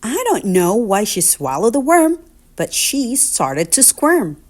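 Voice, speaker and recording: Female storybook reader for young children: higher-pitched, unhurried, very lively sing-song delivery. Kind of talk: read-aloud picture book narration.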